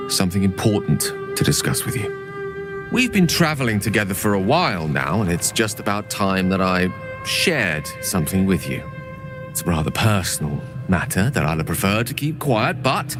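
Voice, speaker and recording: An actor playing an earnest, genteel male wizard in a fantasy game, with a formal, wordy, courteous manner. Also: on the low side. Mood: earnest, hesitant. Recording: music under the voice.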